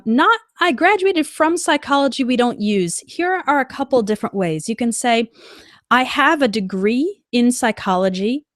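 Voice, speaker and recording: A woman's voice: a little high, a bit bright, dry recording, studio quality. Woman teaching English. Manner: clear, slow modelling of example sentences. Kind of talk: live-stream English lesson.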